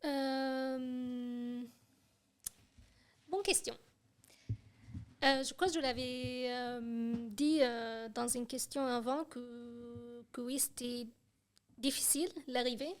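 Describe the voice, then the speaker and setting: A woman's voice: fairly high pitch, steady tone, slow, long pauses. A young woman from Syria, a podcast guest talking hesitantly, with many fillers and restarts. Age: young adult. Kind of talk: casual chat.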